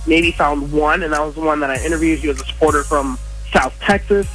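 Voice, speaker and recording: A male correspondent reports casually in a radio interview. He talks quickly in a fairly high, matter-of-fact voice over faint music. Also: steady tone, fairly bright tone, low-quality recording. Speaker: convention correspondent.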